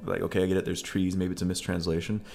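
A man with a very low, deep voice talks casually, blunt and wry. Faint background noise sits under the recording. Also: little pitch movement, very fast, vocal fry, a little mellow. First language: English. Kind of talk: casual conversation.

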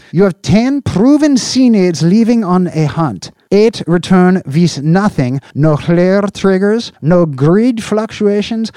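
A man voicing Slope, a petty male official with a shrill, wheedling voice. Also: warm tone, dry recording, studio quality. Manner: high, shrill, wheedling and cooing.

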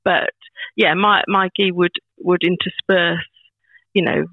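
Chatty woman in her 50s, gravelly edge, sounds like a tinny phone call. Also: lowish voice, steady tone, slow, no room sound. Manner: warm, chatty, with frequent restarts and fillers. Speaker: podcast guest. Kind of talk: casual chat. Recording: tinny phone-like line, dry.

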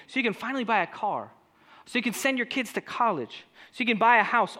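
High-voiced, bright man preaching a sermon. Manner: animated preaching with rhetorical questions to the congregation. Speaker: pastor.

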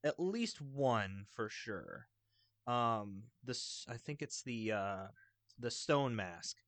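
A man chatting casually about video games in a rambling, halting, enthusiastic way. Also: mid-range voice, expressive, fairly bright tone, no echo. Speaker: video game podcast panelist.

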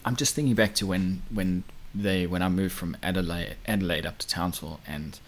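Man, 30s, from South Africa, podcast co-host, lowish voice, rambling casual chat. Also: fairly even intonation, fairly quick, a little hiss or hum. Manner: rambling, restarts and trails off. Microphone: podcast microphone.

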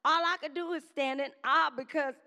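A woman preaching a sermon to her congregation in a conversational style, with quick call-and-response asides to the church. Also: high-pitched, steady tone, bright, forceful tone. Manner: says she has a strong voice.

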